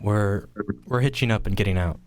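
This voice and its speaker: A man's voice: lowish voice, normal speed, lightly acted. A man playing an anxious farmer husband in a radio play, urgent and insistent with his wife.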